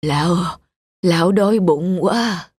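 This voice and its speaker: A woman voicing a wise, kindly old male fairy with slow, measured speech in a cartoon fairy tale. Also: on the low side, a little mellow, slightly rough, voice pushed down. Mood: weary, grateful. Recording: music under the voice.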